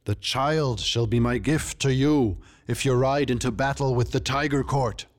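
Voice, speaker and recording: An imperious tiger warlord king from a fantasy storybook, acted out by a male narrator. He speaks formally and deliberately, every phrase carrying command. The tone is regal and forceful. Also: medium pitch, steady tone, voice pushed up, natural voice. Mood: solemn, forceful.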